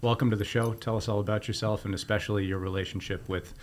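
A man's voice: lowish voice, steady tone, fast, slight background noise. A man hosting an interview podcast in a conversational style, recorded in a sound studio. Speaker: podcast host. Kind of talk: scripted intro and conversational interviewing.

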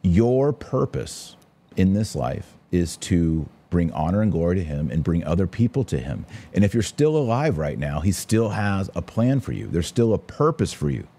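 A man's voice: low-pitched, very animated, normal speed, warm tone, slightly rough. A man hosting a podcast, giving casual, opinionated religious commentary, speaking to his audience in an informal, emphatic, direct way. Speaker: podcast host; online course teacher.

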